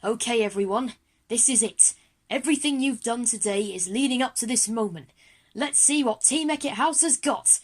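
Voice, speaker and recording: A woman playing a brisk, formal teacher rallying schoolchildren in an audio drama. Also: on the high side, close to an everyday voice. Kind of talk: scripted audio drama dialogue.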